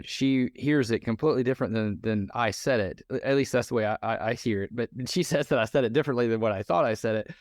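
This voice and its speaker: A man hosting a podcast interview in a relaxed conversational way, often pausing on fillers and restarting sentences. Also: fairly low pitch, no echo, clean studio sound. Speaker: podcast host.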